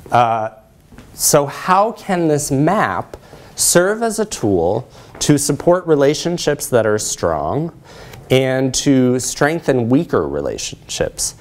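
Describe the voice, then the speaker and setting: Male presenter, thirties, American accent, explanatory lecture delivery, mid-pitched voice. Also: a little mellow. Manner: explanatory, checks in with listeners. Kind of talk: conference presentation with audience questions. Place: lecture room, indoors.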